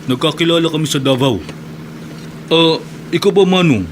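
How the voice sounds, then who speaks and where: A man's voice: fairly high pitch, moderate pace, a little hiss or hum, lightly acted. A man playing a polite younger visitor. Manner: polite, respectful. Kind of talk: radio drama acting.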